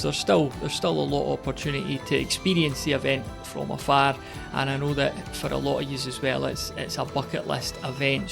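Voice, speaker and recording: A man's voice: a little high, gravelly. A middle-aged Scottish man hosting a podcast in a conversational, informal and slightly hesitant narrating style. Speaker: podcast host.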